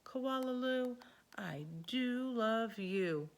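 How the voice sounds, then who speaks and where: Woman voicing a tender, slow-talking mother koala. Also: fairly high pitch, animated, voice pushed up, exaggerated voice. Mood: tender, loving. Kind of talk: storybook read-aloud.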